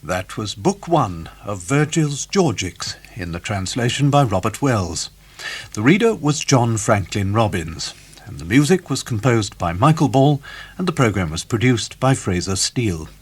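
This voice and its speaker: A man's voice: lowish voice, very animated, measured pace, slightly rough. A man making a scripted radio announcement as a continuity announcer.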